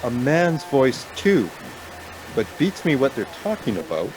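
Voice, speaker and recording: A man's voice: medium pitch, big pitch swings, a little slow, darkish tone, a little hiss or hum, poor audio. An actor in a radio play voicing an anxious, self-deprecating man stranded in a snowstorm. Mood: uneasy. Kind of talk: naturalistic radio play acting.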